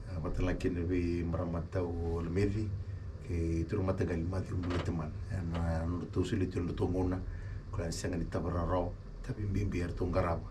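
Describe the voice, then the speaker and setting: A man's voice: low voice, level tone, brisk. A man hosting a radio talk show, answering callers with brief acknowledgments and upbeat replies. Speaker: radio host. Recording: distant mic with clear noise.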